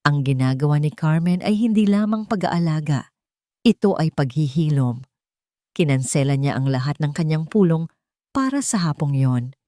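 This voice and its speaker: A woman narrates a dramatic tale in a low, mellow voice. The sound is dry and close, with a scripted storytelling delivery. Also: dry recording. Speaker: narrator of romantic drama stories.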